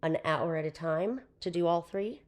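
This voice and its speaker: A young woman with a hoarse voice, chatting casually as a podcast guest, with lots of fillers. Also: lowish voice, moderate pace, darkish tone. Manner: chatty, with frequent fillers and laughs. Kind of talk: casual interview chat.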